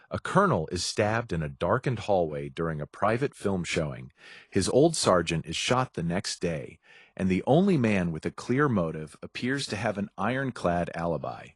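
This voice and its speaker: A man's voice: deep voice, husky, no echo, clean studio sound. A man reading a scripted introduction as host of an old-time radio program. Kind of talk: scripted narration.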